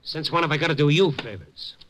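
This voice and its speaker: An actor playing a hardboiled newspaper reporter who narrates in dry, laconic wisecracks in an old radio drama. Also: male voice, on the high side, a little fast, a bit bright, slight background noise, natural voice. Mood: wry. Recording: old radio broadcast, music bed.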